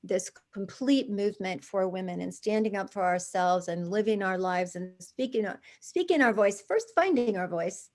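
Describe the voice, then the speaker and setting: Lady in her 60s chatting as a guest, lively, keeps restarting and adding asides. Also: medium pitch, normal speed, hardly any pauses. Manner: animated storyteller, many restarts and asides. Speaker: guest, charity founder. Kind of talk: conversational interview.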